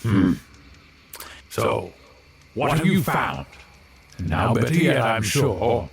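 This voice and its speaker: A low, creaky man acting as a magically summoned figure in a fantasy audio drama. His musing delivery swoops widely and takes its time. A faint crackle of noise runs through the recording. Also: sing-song, slow pace, exaggerated voice. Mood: curious, pleased.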